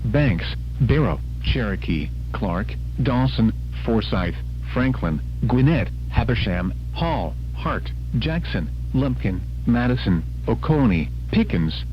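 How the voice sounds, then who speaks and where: A man's voice: fairly low pitch, sing-song, slow. A synthetic man's voice reading scripted weather radio announcements, heard through a radio receiver. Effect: played through a radio receiver. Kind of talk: scripted public service announcement. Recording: dull lo-fi radio sound, faint hum.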